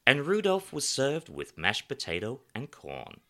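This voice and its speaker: A man's voice: medium pitch, measured pace, pitched above the performer's own voice, heavily acted. A male narrator voicing a flamboyant, wry writer in his thirties with a faded American accent. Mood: wry, animated. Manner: lively, wry, macabre storyteller. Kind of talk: audiobook character voice.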